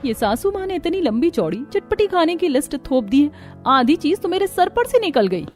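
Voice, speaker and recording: A woman's voice: sits high, sing-song, normal speed, darkish tone, soft background music, pitched above the performer's own voice. A woman voicing a put-upon young daughter-in-law in a family cartoon, with a wry, complaining manner. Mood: overwhelmed grumbling.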